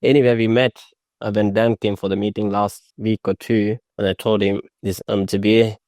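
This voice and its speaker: A young man with a fairly low voice, speaking English as a second language. His sentences come out halting and unpolished, with restarts along the way. The recording is close and dry, with no room sound. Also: flat delivery, darkish tone, dry recording. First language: not English. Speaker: new mowing franchisee. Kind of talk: casual interview.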